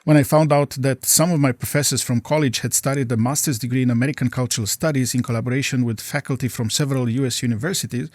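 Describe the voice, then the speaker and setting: A middle-aged man from Romania answers interview questions in careful, formal sentences. His voice sits at an ordinary pitch and is recorded close and dry, with no room sound. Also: darkish tone, no room sound. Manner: measured, formal phrasing. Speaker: guest professor.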